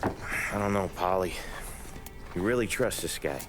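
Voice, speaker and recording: Deep, deadpan mob enforcer. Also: male voice, a little slow, over music. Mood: skeptical. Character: skeptical, unimpressed 1930s mob enforcer. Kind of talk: video game crime drama acting.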